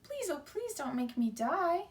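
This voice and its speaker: A woman's voice: high-pitched, big pitch swings, unhurried, voice pushed up. A woman voicing a tiny, earnest storybook ant that pleads bravely and emphatically. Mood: pleading.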